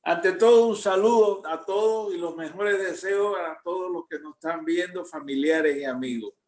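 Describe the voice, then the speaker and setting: A man's voice: high voice, darkish tone. Older Cuban man, echoey room. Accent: Cuban Spanish. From Cuba. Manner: slow, reflective storytelling. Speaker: grandparent being interviewed. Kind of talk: recounting memories.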